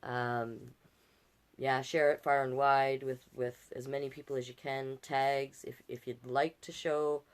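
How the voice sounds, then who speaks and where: Low-voiced woman, folksy storytime host. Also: level tone, a little slow, clean tone. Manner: folksy, chatty, encouraging. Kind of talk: reading picture books aloud with casual asides to viewers.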